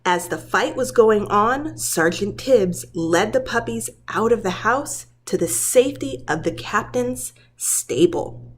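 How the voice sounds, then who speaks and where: Slow, lively woman reading a storybook. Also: medium pitch. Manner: dramatic, deliberate storytelling for young children. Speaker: preschool teacher reading aloud. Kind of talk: expressive children's storybook read-aloud.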